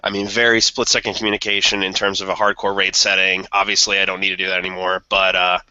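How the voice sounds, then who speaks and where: A man's voice: deep voice, level tone, quick pace, pushed and bright, clean tone, no room sound, dull audio. A man co-hosting a casual podcast on a sensitive mic that picks up his breathing. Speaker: podcast co-host. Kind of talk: casual chat. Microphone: sensitive headset mic, breathing heard.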